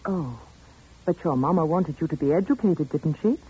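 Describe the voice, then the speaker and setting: An actress playing a gentle, anxious family friend, soothing and hesitant, in 1940s radio suspense style. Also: female voice, deep voice, animated, normal speed, slightly rough, full character voice. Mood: gentle coaxing. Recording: muffled 1940s radio recording.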